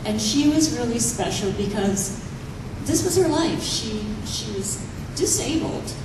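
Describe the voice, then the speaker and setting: A woman with a low voice, chatting through anecdotes to an audience in a room and laughing often as she talks. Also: lively intonation, a little slow. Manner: chatty, laughs often while telling stories. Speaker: newspaper writer giving a talk. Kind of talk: casual anecdotal speech to an audience. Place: event room. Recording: echoey room, from a distance, noisy.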